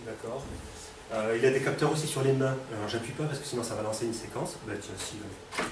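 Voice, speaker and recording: A man's voice: mid-range voice, fairly quick. A man with a cold presenting a robot live to an audience, speaking casually with lots of fillers and questions to the room. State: has a cold. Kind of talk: live technical demo to an audience. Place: hall with an audience. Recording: echoey room, distant mic, background noise.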